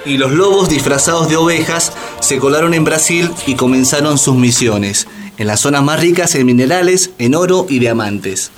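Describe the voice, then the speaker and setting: A man's voice: fairly high pitch, normal speed, music in the background, natural voice. A man playing a grave, ironic storyteller narrator in a scripted radio drama.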